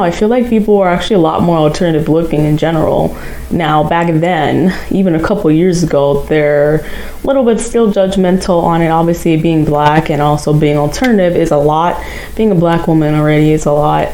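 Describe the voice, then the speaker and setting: A woman's voice: deep voice, dark tone, poor audio. A nineteen-year-old woman chatting casually to her channel viewers, rambling with fillers and little giggles. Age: young adult. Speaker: vlogger. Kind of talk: casual vlog chat.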